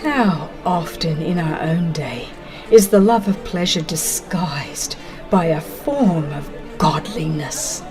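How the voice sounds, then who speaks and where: A woman reading a dramatized religious narration in a solemn, expressive, deliberate way. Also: sits low, crowd noise. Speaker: audiobook narrator. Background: music.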